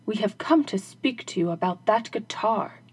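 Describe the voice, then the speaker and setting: A woman voicing a band of robbers in a fairy tale, speaking loudly and bluntly. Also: medium pitch, expressive, moderate pace, slightly rough. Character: band of gruff robbers, grown men.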